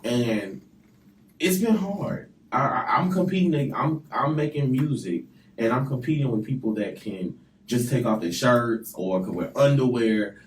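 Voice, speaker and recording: A man's voice: mid-range voice, animated, unhurried, recorded from a distance, a little hiss or hum. Earnest man speaking off mic in echoey studio. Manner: earnest, emphatic, repeats words. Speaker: guest rapper and actor. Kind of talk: radio interview. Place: radio studio.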